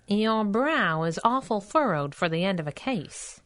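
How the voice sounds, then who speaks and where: Lively, wisecracking sidekick heroine. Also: female voice, medium pitch, unhurried. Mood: wry, sassy. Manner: breezy, slangy, sassy. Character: plucky, wisecracking female superhero sidekick. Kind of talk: 1930s radio serial acting.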